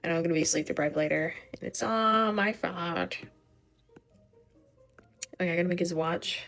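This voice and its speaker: A young woman with a scratchy, hoarse voice rambling casually as she draws, swearing and muttering to herself. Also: on the low side, normal speed, fairly bright tone, soft background music. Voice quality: scratchy, vocal fry. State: voice giving out, hoarse. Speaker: art vlogger. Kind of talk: rambling casual commentary while drawing.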